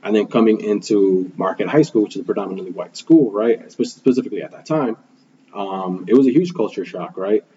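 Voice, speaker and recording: Earnest low-voiced man, native Spanish speaker. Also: lively intonation, a little fast, dark tone, dull audio, slight background noise. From Milwaukee, Wisconsin, USA. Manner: earnest, reflective, frequent ums and "right?". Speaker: guest, school program manager. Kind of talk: conversational interview answers.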